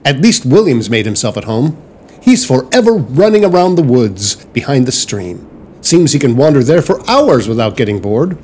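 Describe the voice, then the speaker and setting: A man playing an easygoing, wry Victorian gentleman and father in a period audio drama. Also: mid-range voice, very animated, normal speed, slight background noise, close to an everyday voice. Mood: cheerful, wry.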